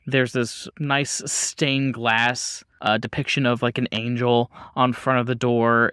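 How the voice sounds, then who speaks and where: A man acting as game master, improvising descriptive narration in a casual, halting way. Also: mid-range voice, a bit bright, clean tone, dry recording, studio quality.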